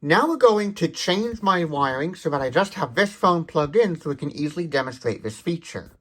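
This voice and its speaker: A man's voice: fairly high pitch, expressive, moderate pace. A man hosting a tech demonstration, explaining details methodically. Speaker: tech demonstrator, video host.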